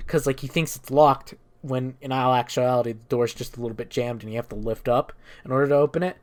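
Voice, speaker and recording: A speaker giving a casual, improvised story recap as a gaming streamer, rambling and irreverent with plenty of swearing. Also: medium pitch.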